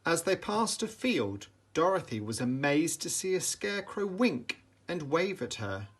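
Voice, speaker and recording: A man reads a bedtime story to young children. His delivery is slow and very lively, with dramatic emphasis and a bright, forceful tone. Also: on the high side, husky. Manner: animated, dramatic, deliberate emphasis for young listeners. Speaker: storybook reader, teacher.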